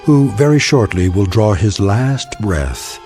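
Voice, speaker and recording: Grave, low-voiced male narrator. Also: expressive, music in the background. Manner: grave, measured, solemn. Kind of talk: scripted dramatic narration.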